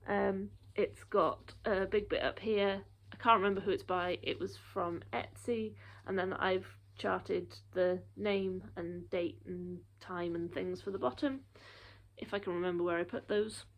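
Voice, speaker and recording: A woman's voice: mid-range voice, fairly even intonation, unhurried, rough recording. A woman in her thirties chatting casually through a show-and-tell of her cross stitch projects, rambling with frequent ums and a little out of breath.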